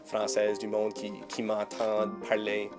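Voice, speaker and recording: A man from Nova Scotia with an Acadian French accent, speaking in a documentary interview in a measured, reflective way with hesitant restarts. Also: on the low side, completely flat delivery. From Baie-Sainte-Marie, Nova Scotia. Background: background music.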